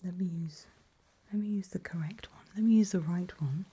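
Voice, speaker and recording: A woman whispering gently and reassuringly to a patient in an ASMR medical roleplay, close to the microphone. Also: fairly low pitch, fairly quick, husky. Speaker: ASMR performer playing a back-alley eye surgeon.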